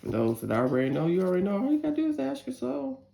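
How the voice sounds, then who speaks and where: A woman in her forties with a deep, gravelly voice reads tarot cards and says a prayer. She talks in a chatty, candid way and curses freely. Her voice rings in a roomy, echoey space. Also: wildly swooping pitch, very fast, noisy background. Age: 40s. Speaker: tarot reader. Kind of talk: casual tarot reading with spoken prayer. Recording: roomy echo.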